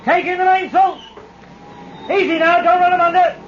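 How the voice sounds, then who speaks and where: Sailor bellowing very high. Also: male voice, fairly even intonation, moderate pace. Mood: urgent. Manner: shouting, calling out. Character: urgent sailor shouting orders on deck of sailing ship. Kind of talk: old-time radio sea drama acting. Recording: muffled old radio broadcast, faint noise, distant.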